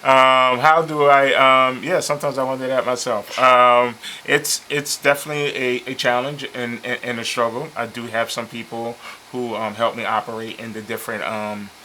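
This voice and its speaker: A man in his fifties, born in Liberia, a chef talking casually and a little haltingly as a TV interview guest. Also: medium pitch, a little hiss or hum, rough recording. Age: 50s. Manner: relaxed, hesitant with many ums, laughs easily. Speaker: chef and business owner, guest. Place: local TV studio.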